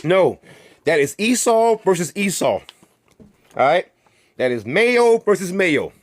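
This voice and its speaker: Fired-up man ranting, high and lively. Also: slow. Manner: profane, rhetorical questions, repeats himself; heated and emphatic. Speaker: channel host. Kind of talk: ranting monologue to viewers.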